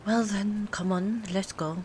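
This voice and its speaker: A woman acting an aloof, teasing old vampire in an ASMR roleplay, speaking softly and formally with hesitant pauses, often near a whisper. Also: mid-range voice, steady tone, natural voice. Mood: calm, explaining. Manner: formal, hesitant, haughty phrasing with drawn-out pauses. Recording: clear background ambience noise. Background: rain, city ambience, soft music.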